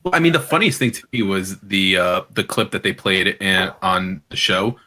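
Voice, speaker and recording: A man's voice: low voice, normal speed, bright, forceful tone. A man co-hosting a podcast, talking casually with a joking, sarcastic edge. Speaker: podcast co-host. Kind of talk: casual chat.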